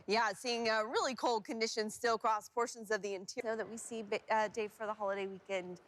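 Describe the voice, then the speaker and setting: A woman's voice: a little high, steady tone, fairly quick, a bit bright, no echo. A woman working as a TV weather forecaster, speaking in a casual, conversational broadcast style. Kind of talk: casual live weather report and banter.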